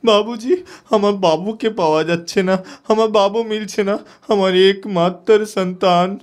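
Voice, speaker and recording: A desperate dad with a bihari hindi accent, clear smooth voice, begging, slow, radio story. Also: male voice, sits high, fairly even intonation. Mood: anguished, pleading. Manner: pleading, mixes in Hindi words. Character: distraught poor father from Bihar whose child is missing. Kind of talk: Bengali audio story acting.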